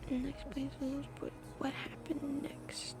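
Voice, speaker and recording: A woman's voice: high voice, monotone, takes it slowly, whispered, loud music behind the voice. A woman live-streaming a game, chatting and reacting casually, candid and musing, often dropping to a murmur. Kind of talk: casual chat and reactions while playing.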